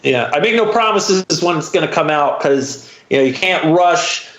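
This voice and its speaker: An American man chatting casually as a podcast guest, speaking in halting phrases with drawn-out hesitations. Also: a little high, animated, a bit bright, echoey room, poor audio. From Florida, United States. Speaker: podcast guest, audio drama writer. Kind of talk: casual interview chat.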